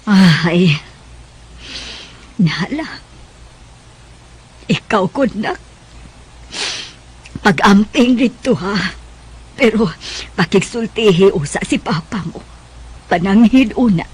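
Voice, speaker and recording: A woman playing a gentle, worried mother with a Cebuano Bisaya accent, caring and expressive, in a radio drama. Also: mid-range voice, slow pace, long pauses, slight background noise. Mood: resigned, caring.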